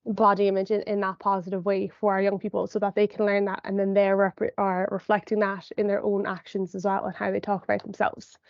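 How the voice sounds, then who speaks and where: A young woman psychologist, a little sniffly as she gets over a cold, explains her points patiently over a video call. She hedges with "I suppose" and plenty of ums. Her voice sits at an average pitch and sounds dry and close, with slightly muffled audio. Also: dry recording. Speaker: sport and exercise psychologist presenter. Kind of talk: online educational talk for parents.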